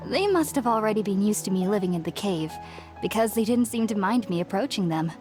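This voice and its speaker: A woman playing a gentle, studious young female hermit in a video game, speaking politely and earnestly in measured phrases. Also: medium pitch, expressive, natural voice. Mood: calm, explaining. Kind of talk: scripted video game voice acting. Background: music.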